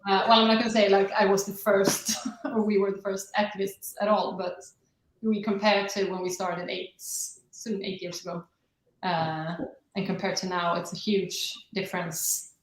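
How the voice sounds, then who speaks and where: A woman's voice: mid-range voice, little pitch movement, moderate pace. Informal woman in an echoey room. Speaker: activist, interview guest from a nonprofit. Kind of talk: casual interview chat.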